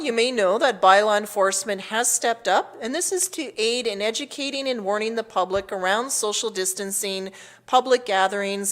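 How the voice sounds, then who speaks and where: A woman with a Canadian accent speaking as mayor, reading out a formal public address in a measured, official manner. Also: mid-range voice, a bit bright.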